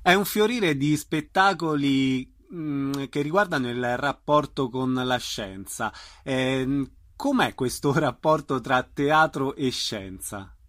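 A man acts as interviewer, putting unscripted questions with measured, hesitant phrasing. His medium-pitched voice is recorded dry, with very little room sound. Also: a bit bright, no echo. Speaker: radio interviewer.

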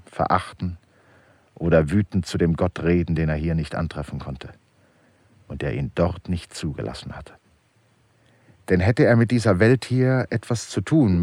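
Very deep, husky male literary narrator. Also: a little mellow, dry recording. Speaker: radio play narrator.

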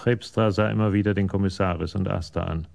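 A man's voice: sits low, steady tone, fast, creaky, a little mellow. A man giving sober scripted narration as the narrator of a German radio crime story.

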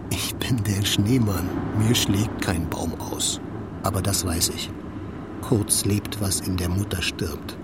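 An actor playing a blunt, lovelorn construction worker in a German radio drama, with poetic, declamatory delivery. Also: male voice, fairly low pitch, background noise. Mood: bitter, blunt.

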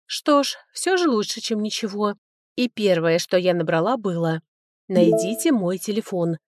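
A woman's voice: mid-range voice, animated, normal speed, dry recording. Woman narrating fiction, close dry sound. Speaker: audiobook narrator. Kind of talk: scripted first-person fiction narration with acted dialogue.

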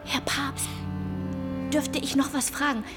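A woman's voice: high-pitched, flat delivery, slow pace, music in the background. An actress playing a timid, hopeful woman in a radio drama, politely and insistently seeking praise. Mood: tentative.

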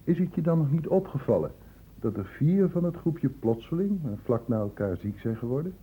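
A man playing a shrewd senior doctor in a Dutch radio play, brisk and probing, with a condescendingly paternal authority. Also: on the high side, very animated. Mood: probing, fatherly. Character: shrewd senior male doctor questioning a student, brisk, fatherly. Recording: thin phone-like old radio recording, hissy.

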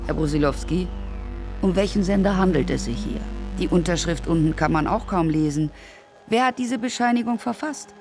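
A woman's voice: fairly low pitch, normal speed, over music, close to an everyday voice. A woman playing a sceptical official examining paperwork in a radio play.

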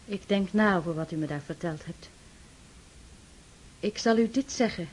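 A woman playing a calm, gentle noblewoman. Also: on the low side, normal speed, slight background noise, lightly acted, low-quality recording. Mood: reassuring. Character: gentle, wise young noblewoman. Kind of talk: radio play acting.

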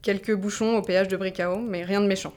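An actress playing a gruff, self-assured young female rebel in an audio drama, casual and plain-spoken. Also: mid-range voice, fairly even intonation, a little fast, darkish tone, close to an everyday voice. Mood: businesslike.

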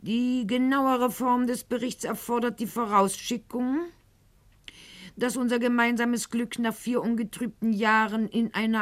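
Slow, haughty aristocratic lady played by an actress. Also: a little high, natural voice. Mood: composed, confiding. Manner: articulate, haughty, ironic, literary phrasing. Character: proud, witty, wounded aristocratic lady recounting her love affair. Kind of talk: theatrical radio drama monologue.

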